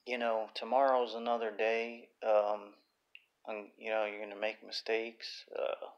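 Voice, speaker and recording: A man with a raspy voice talking casually on his own livestream, halting often with fillers and restarts. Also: fairly low pitch, completely flat delivery, dull audio. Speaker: YouTuber.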